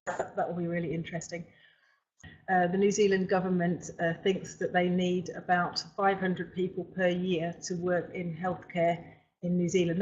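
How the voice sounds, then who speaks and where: A middle-aged woman hosting an informal webinar, speaking haltingly with frequent pauses mid-sentence. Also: fairly low pitch. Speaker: webinar host for a recruitment company. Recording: dull, lo-fi webinar audio.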